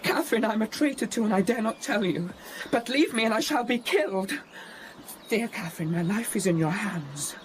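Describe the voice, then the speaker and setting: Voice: medium pitch, animated, normal speed, a little hiss or hum, lightly acted. A performer playing a sickly, cowardly teenage boy in a period drama, whining and faltering as he pleads. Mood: desperate. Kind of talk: period radio drama acting.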